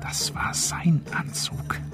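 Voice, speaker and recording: An actor playing a gruff, jealous man who mutters terse, derisive asides in a radio drama. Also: slow, natural voice. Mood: sneering, jealous. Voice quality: gravelly, often whispered. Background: music.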